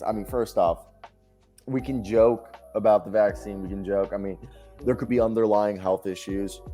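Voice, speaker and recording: A young man co-hosting a live basketball stream, talking loudly and animatedly in casual chat and laughing often. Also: fairly low pitch. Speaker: co-host of a live basketball show.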